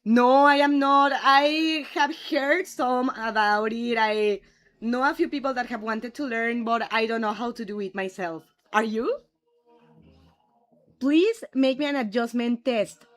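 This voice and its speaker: A young woman from Mexico with a Mexican Spanish accent, chatting casually on a live stream and answering viewers' comments. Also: high-pitched. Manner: friendly, answers viewers' questions aloud. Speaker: livestreamer.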